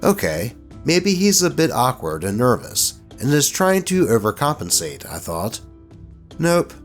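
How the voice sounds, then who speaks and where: A man narrating stories in an expressive, colloquial way, with casual commentary mixed in. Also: medium pitch, unhurried, faint music behind. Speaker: host reading online tabletop horror stories.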